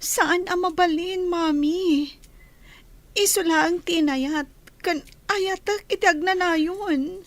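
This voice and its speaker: A woman's voice: very high voice, slow pace, dry recording. A woman playing a lovesick, wistful young daughter in radio drama, with an Ilocano accent. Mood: sad, wistful.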